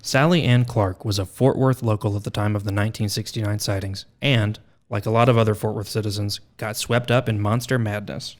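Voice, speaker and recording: A man's voice: on the low side, fairly even intonation, fairly quick, few pauses. A man hosting a podcast, reading scripted narration in a wry, tongue-in-cheek way. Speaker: podcast host.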